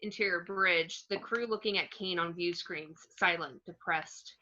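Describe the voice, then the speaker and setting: A woman's voice: lowish voice, measured pace, pushed and bright. A woman narrating screenplay action descriptions in an amateur table read, on muffled lo-fi audio.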